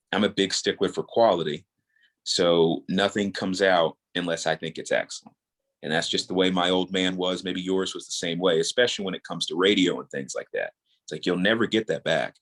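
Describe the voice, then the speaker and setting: A man with a notably low, deep voice speaks as a guest in a casual interview. He is earnest and rambling, circling back and repeating words as he thinks aloud. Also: fairly bright tone. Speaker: podcast guest, audio drama creator.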